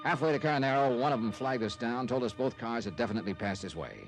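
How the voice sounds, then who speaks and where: A man's voice: mid-range voice, fast, natural voice. Hard-boiled radio detective played by a man. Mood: dry narration. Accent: American. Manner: terse, dry, tough-talking. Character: hard-boiled, wry male insurance investigator narrating his case. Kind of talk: old-time radio detective drama narration and dialogue. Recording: old radio drama with background music.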